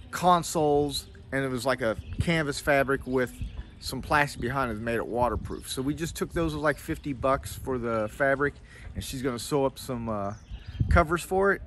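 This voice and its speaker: A man's voice: mid-range voice, a bit bright. A man in his fifties vlogging casually outdoors on a sailboat at a marina, rambling and correcting himself as he talks. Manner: rambling, self-correcting, conversational. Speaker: boat owner vlogger. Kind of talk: casual vlog talk.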